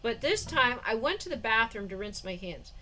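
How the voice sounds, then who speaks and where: A woman in her forties rambles through a casual home vlog, her voice sitting fairly high, stopping for fillers and bursts of laughter. She talks into a phone or camera mic indoors. A faint background hiss runs under the recording. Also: very animated, moderate pace, bright, forceful tone, low-quality recording. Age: 40s. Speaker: vlogger.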